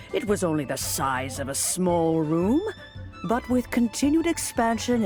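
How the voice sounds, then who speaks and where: An actress playing a calm, gracious, conciliatory character in a fantasy game, talking gently and formally. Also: female voice, deep voice. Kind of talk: scripted fantasy game dialogue. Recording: music under the voice.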